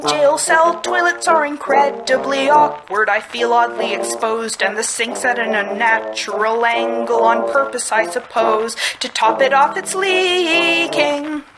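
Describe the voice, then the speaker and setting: A woman's voice: on the high side, measured pace, gravelly, hardly any pauses, low-quality recording. A woman playing a hapless, anxious detective with a flustered, complaining manner in a musical adventure game. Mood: grumbling. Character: hapless, anxious, grumbling detective of ambiguous gender. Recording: music behind the voice. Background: loud music.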